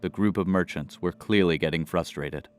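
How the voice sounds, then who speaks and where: A man's voice: sits low, normal speed, clear, resonant tone. A man narrating a scripted fantasy audio drama as its storyteller.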